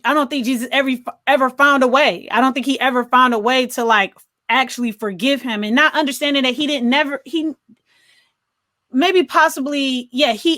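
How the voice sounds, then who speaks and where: A woman's voice: sits high, fairly bright tone, no echo, studio quality. An American woman co-hosting a casual movie discussion, thinking aloud in a conversational way. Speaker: podcast co-host reviewing films. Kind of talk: casual chat, film discussion.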